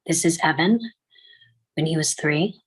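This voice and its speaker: A woman reading the part of a quiet, somber bereaved parent in a drama table read, terse and understated. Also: sits low, measured pace, husky, rough recording.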